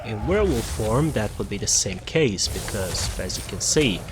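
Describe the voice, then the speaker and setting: A man's voice: on the low side, expressive, moderate pace, music in the background. A man casually explaining a video game build as he plays, improvising and correcting himself as he goes. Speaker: gamer presenting a character build guide. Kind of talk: casual gameplay guide commentary.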